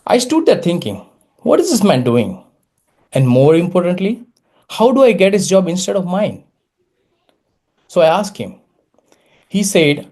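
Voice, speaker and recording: A man in his late thirties from India, a native Gujarati speaker with a Gujarati-accented English, telling his story as a prepared public speech with dramatic pauses and wry, self-deprecating humor. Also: medium pitch, a little mellow. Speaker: Toastmasters speaker.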